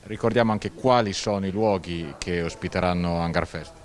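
A man's voice: sits low, moderate pace. A man interviewing a festival director on local TV, asking fluent, prepared questions. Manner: fluent, scripted phrasing. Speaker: TV news interviewer.

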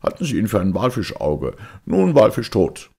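A man voicing a proud, calm foreign harpooner who talks in terse, broken sentences, in an audiobook. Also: lowish voice, moderate pace, gravelly, breathy edge, pitched above the performer's own voice, exaggerated voice. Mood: calm, triumphant.

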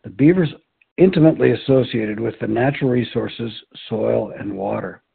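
A man with an extremely creaky, husky voice reads literary prose aloud. The recording is narrow and tinny, like a telephone. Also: mid-range voice, normal speed. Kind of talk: literary narration read aloud. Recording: tinny phone-like audio.

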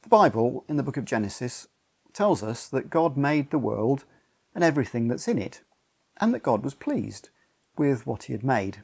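An older man leads a family church activity from home. His voice is husky and creaky, and his pitch moves in an animated way as he gives simple, clear instructions. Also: normal speed. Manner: simple, explanatory, addressing families. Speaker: church service leader. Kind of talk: instructional talk to a home congregation. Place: living room at home, indoors.

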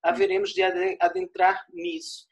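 A man in his forties speaks with a northeastern Brazilian Paraíba accent, giving a university lecture from a small room at home. He talks into an earphone headset on a video call. His delivery is expansive, with key words drawn out slowly and points closed with a questioning "certo?". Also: high-pitched, sing-song, gravelly. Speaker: guest lecturer. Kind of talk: online lecture.

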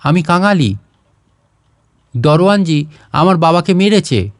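A man's voice: a little high, expressive, measured pace, no echo, clean studio sound. A man voicing a grieving, timid village boy in a storybook reading, meek and pleading. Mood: tearful pleading.

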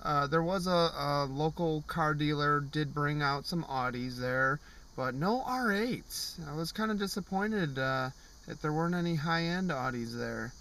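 A man's voice: a little high, unhurried, fairly bright tone. A man in his thirties giving a casual vlog review from the driver's seat of a parked car, rambling with lots of fillers and restarts. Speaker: car show vlogger. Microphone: camera on the dashboard.

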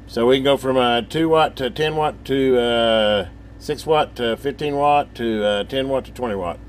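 A man's voice: medium pitch, a little hiss or hum. A man giving a casual, rambling hands-on radio demonstration, thinking aloud in a folksy, informal way. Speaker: hobbyist presenter demonstrating a CB radio.

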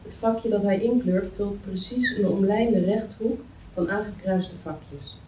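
A woman's voice: medium pitch, measured pace, mellow. A woman reading screenplay stage directions aloud as scripted narration at a table read in a meeting room. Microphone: far camera. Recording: roomy, distant mic, faint noise.

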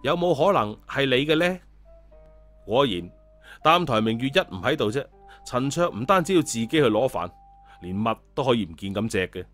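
A man with a Cantonese accent narrating a story in a lively, colloquial scripted style. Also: medium pitch, fairly quick. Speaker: audiobook storyteller. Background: background music.

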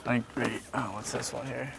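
A man's voice: medium pitch, fairly even intonation, a little fast, gravelly, fairly bright tone, slight background noise. A young man with a Canadian accent chatting casually in brief, agreeable answers inside a shopping mall. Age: young adult. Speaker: guest, hockey player. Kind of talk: casual chat. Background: faint music.

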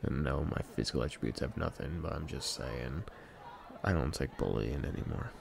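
A man's voice: very deep voice, expressive, gravelly, background noise, exaggerated voice. A man acting a shy, once-bullied young man standing up for himself in a hesitant, murmured ASMR boyfriend roleplay. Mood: guarded, assertive. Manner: hesitant, murmured, with pauses and hums.

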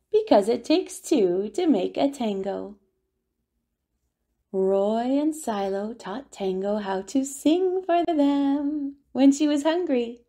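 A woman reading a children's picture book aloud as a storyteller, with expressive, deliberate delivery and drawn-out words. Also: on the high side. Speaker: children's storyteller at a family centre.

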